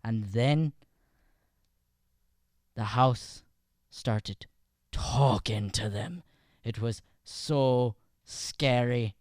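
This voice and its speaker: A man's voice: mid-range voice, very slow, long pauses, darkish tone, no echo, studio quality. A man performing as an improv comedian, bantering and recapping a show informally into a podcast microphone.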